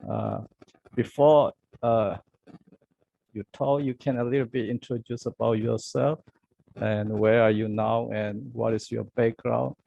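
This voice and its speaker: Male interviewer for a current-affairs podcast. Mid-pitched, slow, halting second-language English with heavy fry. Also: steady tone, warm tone, lo-fi sound. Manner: halting non-native English, repetitive phrasing. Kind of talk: podcast interview on world crises.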